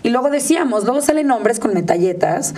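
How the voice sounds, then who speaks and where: A woman's voice: fairly high pitch, lively intonation, a little fast, a little mellow, recorded from a distance. A woman with a Mexican accent speaking as an expert guest in an interview, emphatic and outspoken. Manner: emphatic, outspoken, mimics others in quotes. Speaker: interview guest, digital rights advocate.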